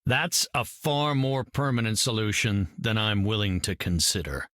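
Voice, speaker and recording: An actor playing an earnest, self-assured scientist hero in a video game, articulate and formal. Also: male voice, fairly low pitch, normal speed, a bit bright, no echo, studio quality, lightly acted. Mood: concerned. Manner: articulate, formal, matter-of-fact.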